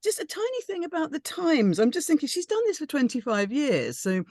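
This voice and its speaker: A woman's voice: high voice, very animated, fairly quick, darkish tone, no room sound, clean studio sound. A woman chatting in a rambling, tentative but enthusiastic way about a script in a group discussion. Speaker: script reading panellist.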